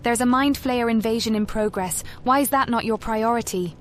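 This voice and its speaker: A woman's voice: on the high side, moderate pace, a little hiss or hum, natural voice. An actress playing a young female elf adventurer who asks direct, pointed questions. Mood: questioning.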